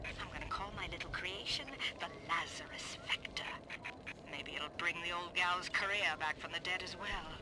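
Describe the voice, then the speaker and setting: A woman's voice: fairly low pitch, steady tone, moderate pace, lightly acted. An actress playing a brash middle-aged woman scientist in a game audio diary, wry, earthy and boastful. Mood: wry triumph. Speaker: botanist recording audio diaries. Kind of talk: dramatic audio diary monologue in a video game. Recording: noisy old radio-like audio diary.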